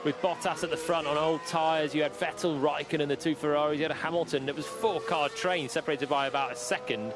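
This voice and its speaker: A man's voice: a little high, fairly even intonation, fast talker, hardly any pauses, over music. A man giving conversational live analysis as a co-commentator on a motor racing broadcast.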